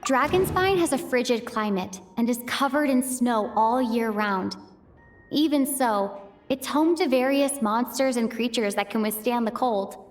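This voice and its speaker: Woman acting a high-voiced, earnest young traveler. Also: a little slow, natural voice. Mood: reflective. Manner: reflective, careful, earnest. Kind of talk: dramatic video game voice acting. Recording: music behind the voice.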